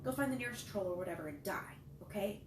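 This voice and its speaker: A woman voicing a sharp-tongued teenage girl who scolds the boys and takes charge. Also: mid-range voice, animated, fast, distant mic, close to an everyday voice. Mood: mocking. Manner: scolding, commanding. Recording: roomy echo, faint noise.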